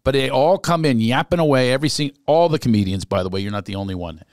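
A man's voice: medium pitch, dry recording. Middle-aged man, podcast host, jokey banter, self-mocking, dry close studio mic. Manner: joking, rapid banter with self-mocking asides. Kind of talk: casual comedic chat. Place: small studio with foam panels. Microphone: studio mic on boom arm, headphones.

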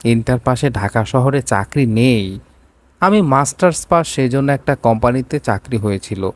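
Ailing big brother for an audio story read by a man, protective, softly chiding. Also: mid-range voice, lively intonation, normal speed, close to an everyday voice. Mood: worried. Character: ailing, caring elder brother.

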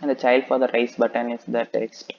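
A man narrates a screen-recorded coding lesson in an almost unchanging monotone, working through each step and pausing on "okay". His voice comes through a dull, lo-fi mic with a faint hiss beneath it. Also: medium pitch, creaky. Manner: methodical, steady, thinking aloud, frequent "okay". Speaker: coding tutor.

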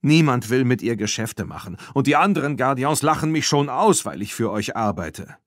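A man's voice: mid-range voice, animated, normal speed, no echo, pitched above the performer's own voice, close to an everyday voice. Man playing a guilty old herder, dry sound. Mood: apologetic, desperate. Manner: apologetic, hesitant. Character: old weathered horse herder, guilty and downtrodden.

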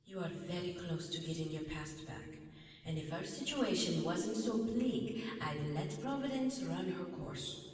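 A woman's voice: lowish voice, expressive, measured pace, darkish tone. A woman playing an earnest, caring ally of the hero in a radio drama. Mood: grave, tender. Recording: very echoey, reverberant, distant sound.

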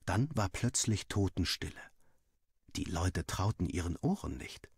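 A man reading a first-person story aloud in an expressive, scripted storytelling style, with a husky voice. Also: sits low, measured pace, no echo.

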